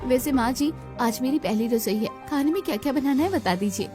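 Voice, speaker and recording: A woman voicing a dreamy, eager, excitable young bride in a cartoon story. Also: high-pitched, a little fast, over music.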